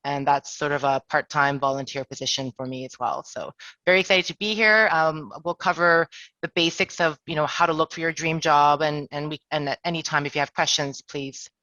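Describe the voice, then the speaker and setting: A woman's voice: deep voice, fairly even intonation, fairly quick, bright, forceful tone, no echo, highs cut off. A woman presenting an online career workshop as an HR director, chatty and self-deprecating with jokes. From Toronto, Canada. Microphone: video call.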